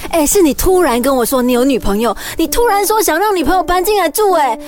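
A woman playing a feisty, self-flattering, lovesick high-school girl in a youth radio drama, with an exclaiming, teasing manner. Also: fast. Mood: indignant, sarcastic.

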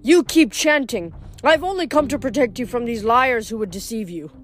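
A woman's voice: fairly high pitch, lively intonation, faint music behind, close to an everyday voice. A woman voicing a sneering ghost king. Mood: mocking. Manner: sneering, slow and menacing. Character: malicious ancient male ghost king.

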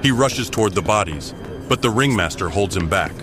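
A man narrating an audio drama in a measured, dramatic style. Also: lowish voice, lively intonation, music in the background.

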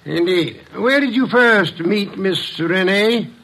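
Gravelly bright voice, pompous genius sleuth, wordy, sardonic, formal, vintage radio detective drama. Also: male voice, high voice, animated, slow pace, full character voice. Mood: accusing. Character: pompous, erudite, irritable male genius detective. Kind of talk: old-time radio detective drama acting.

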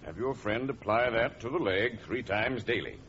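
A man playing a shrewd, self-important horse doctor in 1940s radio comedy, speaking in a pompous, measured, formal way. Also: on the low side, lively intonation, a bit bright. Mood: brisk, authoritative. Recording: muffled, faintly noisy old radio recording.

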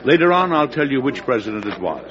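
An actor playing a measured, principled American president in an old radio drama, speaking frankly and deliberately. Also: male voice, medium pitch, big pitch swings, a crowd in the background, close to an everyday voice. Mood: storytelling. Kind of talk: 1940s-50s radio drama acting and narration. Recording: dull, noisy old radio recording.

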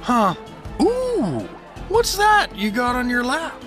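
Goofy drunken adventurer, played by a man, swooping pitch. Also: huge pitch swings, slow, fairly bright tone, faint music behind, heavily acted. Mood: giddy, drunk. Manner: slurred, bumbling, muddles his words. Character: goofy, drunken, boastful adventurer, loud and oblivious. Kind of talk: fantasy audio drama acting.

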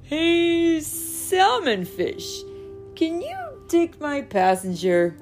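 A woman voicing a kind, gentle male fish who calls out in a friendly way. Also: fairly high pitch, sing-song, measured pace, vocal fry, soft background music, exaggerated voice. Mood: friendly calling. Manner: friendly, calling out.

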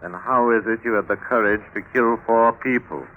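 An actor playing a probing, brisk magistrate who questions suspects in an old radio drama, insistent and clipped. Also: male voice, medium pitch, bright, forceful tone, close to an everyday voice. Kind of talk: 1950s radio drama acting. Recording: old radio recording, faint noise, narrow band.

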